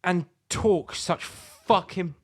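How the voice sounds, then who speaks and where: A man's voice: high-pitched, very slow, slightly rough, no room sound. A British man co-hosting a casual comedy podcast, ranting bluntly with exasperation and plenty of swearing. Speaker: podcast co-host.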